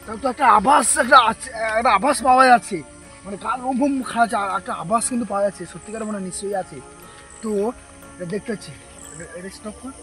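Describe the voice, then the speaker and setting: High voiced guy vlogging in the woods at night, jittery, keeps repeating himself, music faint underneath. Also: quick pace. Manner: jittery, excitable, repeats words. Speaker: vlogger host. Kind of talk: ghost-hunting vlog narration.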